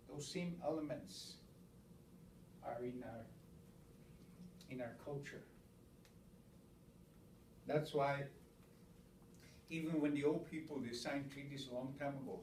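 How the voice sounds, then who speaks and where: Halting Stoney-speaking man teaching, roomy and distant. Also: a little high, warm tone, slight background noise. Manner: repeats words, counts aloud, halting restarts. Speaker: elder teacher. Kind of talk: informal spoken teaching.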